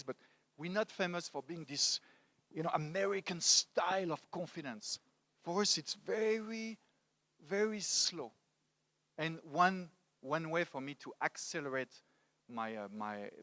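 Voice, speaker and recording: A man in his forties from France, with a French accent, giving a motivational talk into a handheld microphone in a halting, emphatic way. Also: on the high side, bright, forceful tone, dry recording. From France, grew up in Paris. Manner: halting, emphatic, repeats words for stress. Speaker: conference speaker, storytelling coach. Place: indoor stage at a conference.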